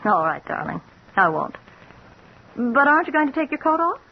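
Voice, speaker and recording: An actress playing a gentle, anxious, affectionate wife in 1950s radio drama style, on a muffled, hissy old recording. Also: female voice, sits high, very animated, normal speed, gravelly, exaggerated voice.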